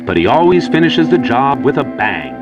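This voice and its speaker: A man's voice: medium pitch, sing-song, normal speed, muffled recording. A man delivering scripted narration like an old children's safety film, in a theatrical, mock-earnest storyteller manner, over prominent music.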